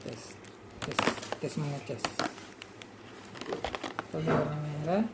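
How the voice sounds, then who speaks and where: A low-voiced woman walks someone through a device. She speaks informally, in short practical instructions, one step at a time. Clear background noise sits behind her. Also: steady tone, slow. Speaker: walkie-talkie seller demonstrating to a customer. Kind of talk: informal hands-on product demonstration. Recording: noisy on-location sound, clear background noise.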